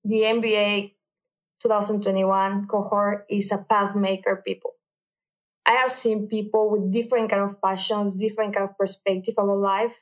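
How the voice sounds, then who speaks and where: A woman's voice: medium pitch, little pitch movement, moderate pace, highs cut off. Mexican woman speaking earnestly. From Mexico City, Mexico. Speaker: MBA student guest. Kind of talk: interview answers.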